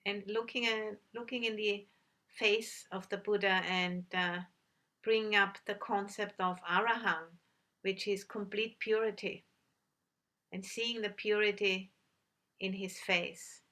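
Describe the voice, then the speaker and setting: A woman teaching a guided meditation, giving gentle instructions with long pauses between phrases. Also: mid-range voice, steady tone.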